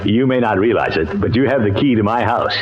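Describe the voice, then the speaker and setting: An actor playing a pompous, genial uncle in a vintage radio comedy promo. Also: male voice, on the low side, lively intonation, a little fast, close to an everyday voice. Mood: jovial, inviting. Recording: dull vintage radio broadcast.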